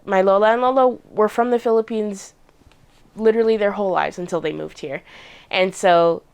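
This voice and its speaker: A man's voice: high-pitched, normal speed, creaky, smooth. A young man from Canada, a guest on a talk show, chatting casually about his family with many fillers. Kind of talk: casual conversation.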